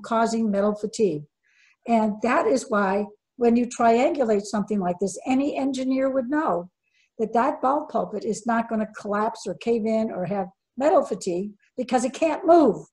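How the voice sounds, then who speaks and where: An older woman with a somewhat high voice walks an online audience through sailing gear over a video call. She is emphatic, quizzes the listeners and repeats her key points for stress. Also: darkish tone. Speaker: sailing instructor presenting a webinar. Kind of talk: webinar presentation with slides.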